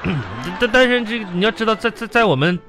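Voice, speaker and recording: A man's voice: high-pitched, fast, a bit bright. A man playing a henpecked middle-aged husband with a Northeastern Chinese accent in a comic radio drama, coaxing and mock-earnest. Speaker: radio comedy host. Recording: music playing behind.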